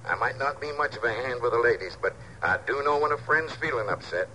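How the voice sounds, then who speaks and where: Folksy con man, ingratiating, sharp bright tone, swooping lively pitch, 1950s radio drama. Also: fairly quick, rough recording. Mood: falsely sympathetic. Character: smooth-talking folksy swindler feigning kindly friendship. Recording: old radio recording, faint hiss.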